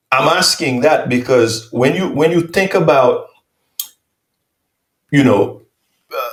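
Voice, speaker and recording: A man from Haiti hosting an interview, asking long, probing questions and often circling back. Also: mid-range voice. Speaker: host. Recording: roomy echo.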